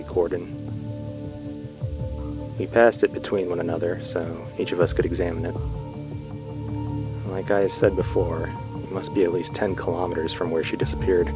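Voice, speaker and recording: A man's voice: low voice, lo-fi sound, close to an everyday voice. A man playing a weary, uneasy colonist recording a subdued, brooding field message in a sci-fi audio drama.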